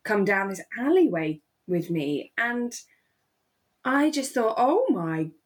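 A woman's voice: medium pitch, very animated, slow. A woman co-hosting a podcast, chatting casually about a radio drama. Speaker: podcast co-host.

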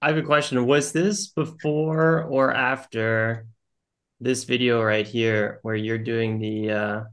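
A man hosting a podcast, introducing a guest in a relaxed, self-deprecating way with hesitant fillers. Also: mid-range voice, takes it slowly. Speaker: podcast host. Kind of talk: casual chat.

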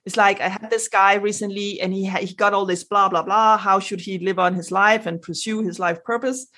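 A woman's voice: medium pitch, fairly even intonation, a little fast, pushed and bright. A Danish woman, a native speaker of Danish with a Danish accent, speaking animatedly as a spiritual guest on a podcast, with sound effects and many restarts. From Denmark. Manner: frequent restarts and fillers. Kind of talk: podcast interview.

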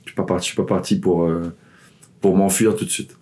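A man's voice: low voice, little pitch movement, fairly quick, a little mellow. A man in his forties, raised in the Ivory Coast and Paris, a swim coach telling his story as a guest, rambling with lots of fillers and restarts. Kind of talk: casual interview chat.

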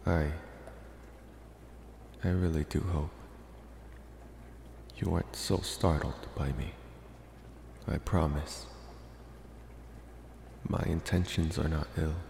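Casting: man as infatuated college-age vampire for ASMR roleplay, polite, reassuring, very low with heavy fry. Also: fairly even intonation, darkish tone, echoey room, long reverb tail, slight background noise, exaggerated voice. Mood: calm, reassuring. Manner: slow, reassuring, hesitant with deliberate pauses.

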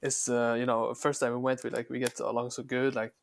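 A young man who is not a native English speaker, a podcast guest chatting casually and pausing often to search for words. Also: medium pitch, little pitch movement, gravelly, a little hiss or hum. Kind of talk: casual chat.

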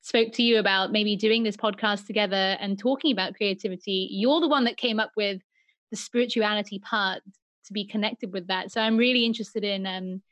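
A woman's voice: mid-range voice, fairly even intonation, a little fast, a bit bright. A woman hosting a podcast, interviewing a friend over a video call with some hesitant fillers. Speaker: podcast host. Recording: lo-fi call audio.

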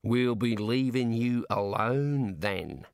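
A man's voice: mid-range voice, slow, smooth, no room sound, clean studio sound. A man voicing a cheerful, chatty prison warder with an Australian accent and a breezy, matey manner, in a storybook reading. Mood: jovial. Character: cheerful chatty male prison warder, jovially morbid, folksy.

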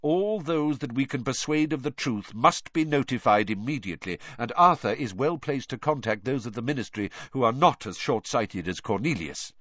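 A man voicing an elderly wizard headmaster in an audiobook, grave, courteous and commanding, speaking in a measured, formal way. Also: mid-range voice, expressive, a bit bright, dry recording, dull audio, voice pushed up. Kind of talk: audiobook character voice.

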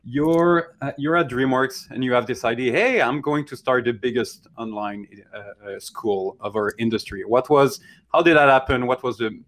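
A man's voice: medium pitch, moderate pace, fairly bright tone. A man co-hosting a casual interview, asking questions eagerly. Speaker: co-host.

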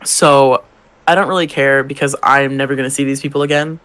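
A man's voice: medium pitch, fairly even intonation, fairly quick, fairly bright tone, poor audio. A young man, a drag queen co-hosting a podcast, telling work stories in casual chat, swearing and acting out customers' lines. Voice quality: gravelly, feminine-leaning voice.

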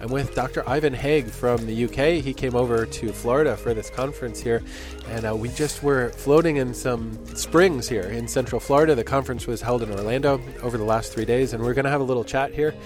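Man, podcast host, friendly, conversational, mid-pitched voice, faint background music. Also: a little fast. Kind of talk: casual interview. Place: outdoors at a conference venue.

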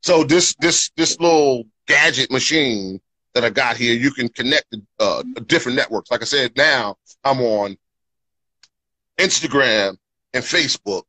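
An upbeat man hosts a live podcast and chats loosely, with plenty of fillers. His voice is bright and forward. He sits close to a podcast mic in a dry, dead room. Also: mid-range voice, unhurried, no echo, poor audio. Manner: upbeat, filler-heavy, repeats "you know what I'm saying". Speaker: podcast host. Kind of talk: casual live podcast chat. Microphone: podcast microphone.

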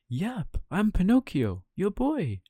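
A man voicing an eager, innocent wooden puppet boy in a bright, childlike way for a bedtime story. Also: mid-range voice, huge pitch swings, takes it slowly, dry recording, studio quality, voice pushed up, exaggerated voice.

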